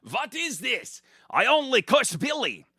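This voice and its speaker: A man puts on a menacing villain wizard for a comic horror tale. He rants pompously and gloats, with big swoops in pitch and a very dry, close sound. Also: huge pitch swings, unhurried, sharp tone, husky, no echo, full character voice. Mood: flustered. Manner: pompous, ranting.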